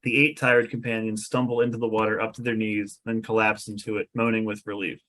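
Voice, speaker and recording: A man's voice: lowish voice, little pitch movement, a little fast, a bit bright. A man reading out the stage directions of a fantasy script as narrator at a table read.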